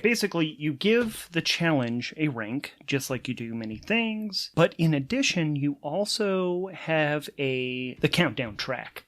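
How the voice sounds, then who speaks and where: A man hosting a solo tabletop role-playing show, thinking aloud in an animated, conversational way as he narrates play. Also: fairly high pitch.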